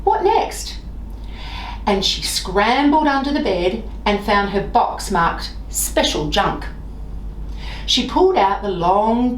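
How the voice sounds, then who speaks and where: A woman reading a children's storybook aloud in an expressive, deliberate way, with sing-song repeated phrases. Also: medium pitch, recorded from a distance. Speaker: library staff member reading stories. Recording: roomy echo, off-mic, faint noise.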